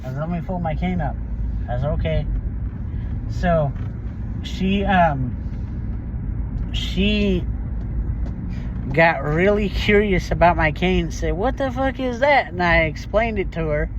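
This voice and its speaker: A man with a raspy, hoarse voice, chatting and telling a rambling story from the seat of a car. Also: sits high, takes it slowly, pauses often. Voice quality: raspy from shouting. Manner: rambling, storytelling with many fillers. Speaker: vlogger. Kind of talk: casual chat in a car. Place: inside a car at night. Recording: muffled car recording with road noise.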